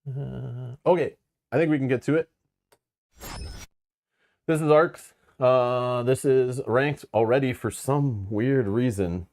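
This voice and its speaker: A man's voice: medium pitch, slow, pauses often, a little mellow. A man hosting a live board game stream, talking in a casual, rambling way with lots of fillers and frank asides. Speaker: board game channel host.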